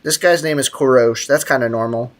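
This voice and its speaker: A man's voice: medium pitch, a little fast. A man live streaming a game with casual, slangy commentary, joking and teasing as he chats with viewers. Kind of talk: casual gaming stream commentary, reading and answering chat.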